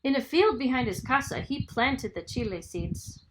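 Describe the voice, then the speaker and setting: A woman reading a children's story aloud in a dramatic, expressive storytelling manner. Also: high voice, normal speed, noisy background. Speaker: storybook reader, school superintendent.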